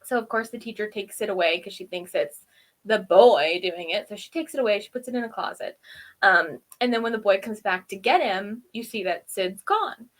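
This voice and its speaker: A woman co-hosting a review show, casually recapping and commenting in an informal, animated way. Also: mid-range voice, normal speed. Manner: informal, animated retelling with acted-out quotes. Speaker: co-host of a TV episode review show.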